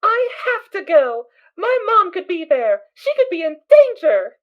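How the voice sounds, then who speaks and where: A woman's voice: extremely high pitch, sing-song, unhurried, lo-fi sound, heavily acted. A woman playing an innocent, brave little filly in an amateur audio drama, with a childlike, guileless way of talking. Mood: determined, excited.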